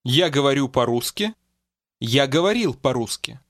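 A man speaking carefully and clearly as the pronunciation model in a language course. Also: medium pitch, expressive, fairly bright tone, no room sound, clean studio sound. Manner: slow and carefully enunciated.

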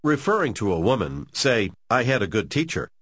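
Lesson narrator, male, lowish husky voice, lively pitch, dry studio sound. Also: no room sound. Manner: clear, measured prompting. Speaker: course narrator. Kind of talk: scripted language-lesson narration.

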